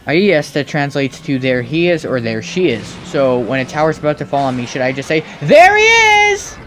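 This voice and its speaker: A man's voice: medium pitch, big pitch swings, normal speed, a little hiss or hum. A man giving nitpicking video game commentary in a sarcastic, incredulous manner.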